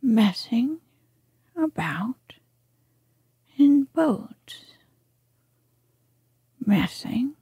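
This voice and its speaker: A woman's voice: medium pitch, lively intonation, vocal fry, breathy edge, dry recording, clean studio sound, exaggerated voice. A dreamy whispering water rat, as a woman reads him. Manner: whispered, soft and unhurried. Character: cheerful dreamy male water rat, boat-lover.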